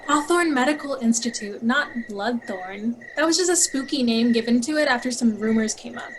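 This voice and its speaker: A woman plays a skeptical, well-informed young woman in a horror audio drama. Her voice is fairly high and matter-of-fact, with crickets and faint noise behind. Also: moderate pace, lightly acted, low-quality recording. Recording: faint noise with night insects. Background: crickets and frogs.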